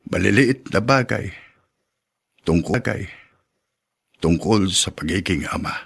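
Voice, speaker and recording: Older male, low husky voice, ailing aggrieved father, weighty and emotive, radio drama. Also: expressive, unhurried, a bit bright, heavily acted. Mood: sad, troubled. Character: ailing, aggrieved old father of grown children. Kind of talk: Tagalog radio drama acting.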